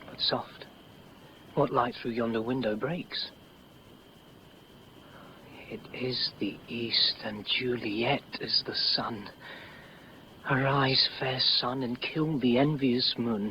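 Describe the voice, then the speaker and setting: An actor playing an ardent, dreamy young nobleman in love, with measured, breathy poetic delivery in 1950s radio style. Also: mid-range voice, natural voice. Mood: rapt adoration. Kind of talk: 1950s radio Shakespeare acting. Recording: dull old radio recording, faint noise.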